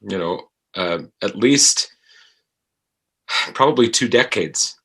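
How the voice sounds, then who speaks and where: Deep, slow, hesitant man with bright edge. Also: expressive, husky. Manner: halting, hesitant, searching for words. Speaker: podcast guest, actor. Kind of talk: reflective interview conversation.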